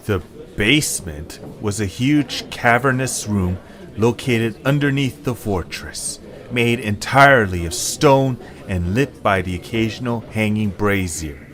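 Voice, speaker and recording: A man's voice: on the low side, unhurried, a bit bright. A man reading scripted narration for a story. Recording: music under the voice. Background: background music.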